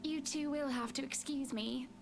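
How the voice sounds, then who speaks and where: Actress as rich, genteel socialite wife, high voice, sweetly bossy, visual novel drama over music. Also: female voice, fairly even intonation, moderate pace, creaky. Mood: gracious. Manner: polite, affectionate, genteel. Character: gracious wealthy married woman, sweetly bossy socialite.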